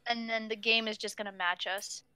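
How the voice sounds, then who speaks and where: A woman's voice: mid-range voice, fairly even intonation, brisk, pushed and bright, clear, resonant tone, no room sound. A woman from Russia, speaking English as a while chatting and walking friends through a game on a stream. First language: not English. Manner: chatty, guides others through game menus. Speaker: stream host. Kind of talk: casual gaming stream chat.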